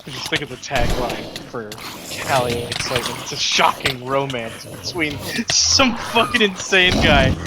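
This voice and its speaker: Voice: mid-range voice, measured pace, hardly any pauses, heavy background noise. A person chatting casually during an online game, wry and given to swearing, heard over voice chat.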